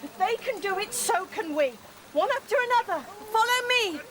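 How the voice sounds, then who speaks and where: A woman's voice: very high voice, expressive, moderate pace, a loud crowd, low-quality recording. An actress playing a spirited, plucky female rabbit in a radio play, eager and exclamatory. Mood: encouraging. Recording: loud background noise in radio drama.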